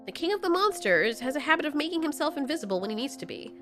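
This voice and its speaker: Animated, high-voiced woman telling a story. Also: fast, music in the background. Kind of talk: scripted story narration.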